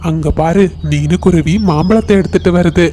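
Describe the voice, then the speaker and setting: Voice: medium pitch, fairly even intonation, moderate pace, mellow, a little hiss or hum, close to an everyday voice. An actor voicing a hungry, scheming adult male crow in a children's cartoon.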